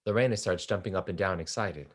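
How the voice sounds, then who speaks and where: Very low-pitched male play narrator. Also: fast. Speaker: narrator reading stage directions. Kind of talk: scripted narration of a stage play.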